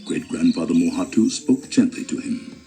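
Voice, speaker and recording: A man with a deep, creaky voice narrates a children's story. His delivery is dramatic and lively in pitch, with music clearly playing behind him. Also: normal speed.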